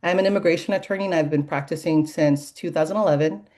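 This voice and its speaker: Lawyer lady, low mellow voice, brisk and precise. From USA. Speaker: immigration attorney. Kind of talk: self-introduction on radio.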